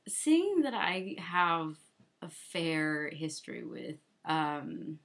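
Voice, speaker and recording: Low-pitched woman podcast cohost, super casual, says like a lot. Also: animated, extremely slow, creaky. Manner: chatty, lots of "like". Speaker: podcast co-host. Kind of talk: casual movie chat.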